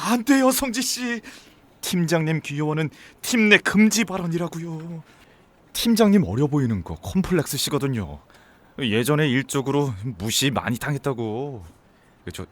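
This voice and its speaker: An actor playing a friendly, chatty and easily flustered young male office worker in a Korean audio drama. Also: a little high. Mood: anxious warning.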